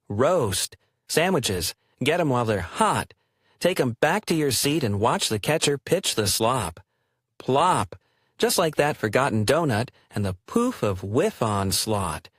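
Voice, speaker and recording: Guy doing spoken word poetry, kinda wry and theatrical, really animated pitch, dry sound no room echo. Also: husky.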